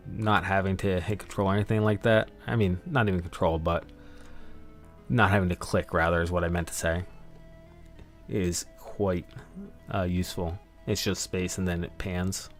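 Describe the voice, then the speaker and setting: A man talks to himself in a casual, rambling way while working on a stream. His delivery is unhurried and his voice has a gravelly fry. Faint music plays behind him. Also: low-pitched. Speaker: streamer, game master. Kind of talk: casual thinking-aloud stream commentary.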